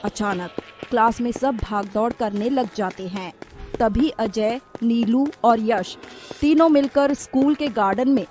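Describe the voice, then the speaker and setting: High-pitched, unhurried female story narrator. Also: darkish tone, soft background music. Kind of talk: scripted cartoon story narration.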